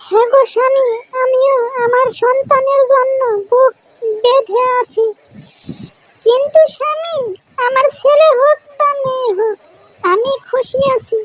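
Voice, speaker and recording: A gentle young cartoon village wife, acted by a woman, with an extremely high, childlike pitch. She speaks slowly and pleadingly, an anxious, soft-hearted mother. The sound is close and dry. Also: fairly even intonation, no room sound, poor audio. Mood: hopeful, devoted. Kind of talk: cartoon fairy-tale voice acting.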